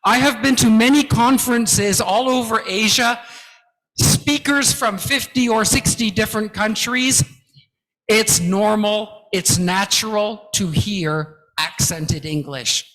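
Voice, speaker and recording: A man's voice: sits high, slow, fairly bright tone. A man giving an interactive lecture on presentation skills, emphatic and encouraging, counting aloud through drills in a large lecture hall. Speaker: guest lecturer. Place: large lecture hall with about two hundred people. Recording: echoey hall reverb.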